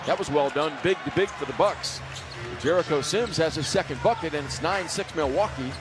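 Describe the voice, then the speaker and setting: A man's voice: on the high side, brisk. A man with an American accent calling basketball live on radio as play-by-play announcer, in clipped vivid phrases full of catchphrases. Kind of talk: fast live radio sports commentary.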